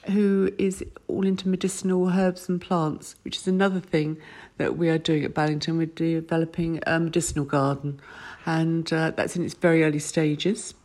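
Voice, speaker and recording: A woman speaking enthusiastically as a podcast guest, full of praise and repeated words. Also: low-pitched. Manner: warm, enthusiastic, repeats "lovely". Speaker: guest, retreat owner. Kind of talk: casual podcast chat.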